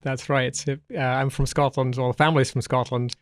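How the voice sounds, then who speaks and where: A man's voice: medium pitch, level tone, brisk, dry recording. A middle-aged man with a mixed South London and American accent, speaking thoughtfully as a podcast guest, hesitant, with fillers and restarts. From England. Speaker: guest, Old Testament scholar. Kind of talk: relaxed podcast conversation.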